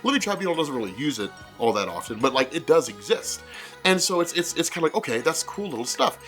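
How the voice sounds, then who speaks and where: Congested guy doing a comics fan video, talks fast and rambly, music under it. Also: on the high side, very animated, a bit bright. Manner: rambling, conversational, repeats phrases and says like often. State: congested from a cold. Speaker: comics explainer video host. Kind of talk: casual excited fan commentary.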